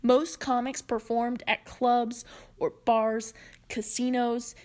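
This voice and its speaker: A woman presenting a prepared explanatory narration in a measured, deliberate way. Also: fairly high pitch, level tone, a little mellow. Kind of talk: prepared video-essay narration on comedy history.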